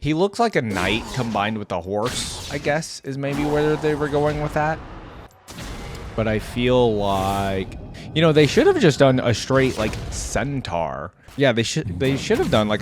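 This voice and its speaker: A man's voice: mid-range voice, very animated, a little slow, over music. A man giving casual, informal reaction commentary as a video host, thinking aloud and at times reading out.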